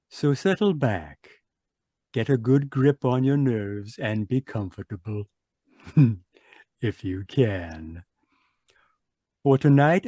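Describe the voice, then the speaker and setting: An actor playing a sly, macabre host who introduces eerie tales in a theatrical, drawn-out old-time radio style. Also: male voice, mid-range voice, mellow, no room sound. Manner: theatrical, sinister, drawn-out phrasing. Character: The Mysterious Traveler, sly macabre mystery host.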